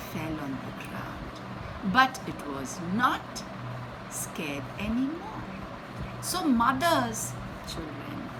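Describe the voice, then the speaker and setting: A woman reading a children's story aloud in an expressive, dramatic and teacherly way, pausing between short phrases and repeating words for effect. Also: mid-range voice, background noise.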